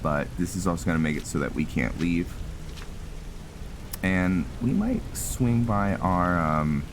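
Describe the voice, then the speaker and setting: A man giving casual roleplay gameplay commentary, thinking aloud in a halting way as he goes. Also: low voice, noisy background. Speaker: gaming channel host.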